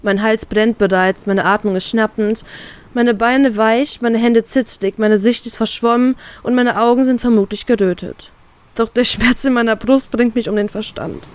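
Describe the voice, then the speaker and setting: A woman reading her own fan fiction aloud in an expressive, emotional way. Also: a little high, moderate pace. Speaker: fan fiction author and reader.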